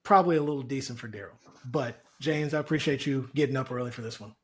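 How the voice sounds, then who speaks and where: A man's voice: medium pitch, fast, a crowd in the background. A man hosting a casual webinar, upbeat, loose and jokey, speaking over a video call. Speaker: webinar host, co-founder.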